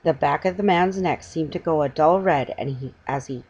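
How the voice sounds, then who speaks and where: A middle-aged woman reading a children's story aloud at home, in a somewhat halting way. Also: sits low, a little mellow, slightly rough, lo-fi sound. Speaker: amateur storybook reader. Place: room at home, indoors.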